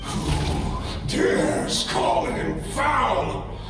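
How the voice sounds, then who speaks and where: A woman playing a menacing, struggling abyssal villain in an anime fantasy game, speech labored and halting. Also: low-pitched, wildly swooping pitch, raised voice, soft background music, full character voice. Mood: menacing, strained.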